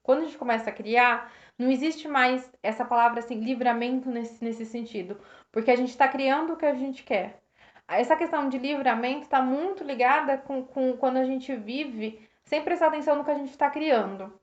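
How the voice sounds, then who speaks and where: High-voiced Brazilian woman, slightly hoarse. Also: normal speed. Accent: Brazilian Portuguese. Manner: rambling with many restarts, thanks viewers by name. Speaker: host reading and reflecting on a self-help book. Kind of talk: casual live-stream reflection. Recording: roomy, dull lo-fi live stream.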